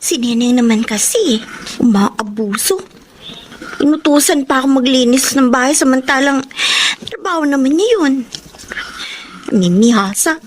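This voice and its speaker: A woman playing a humble, dutiful housemaid in a radio drama, earnest and expressive, with a Filipino accent. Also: sits high, measured pace, pauses often, slight background noise. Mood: puzzled, resentful.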